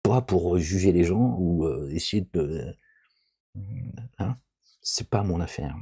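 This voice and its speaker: A man's voice: sits low, slow, dark tone, husky. A middle-aged man giving a rambling, informal religious commentary, often checking in with his listeners and adding joking asides. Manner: digressive, asks "d'accord?" often, jokey asides.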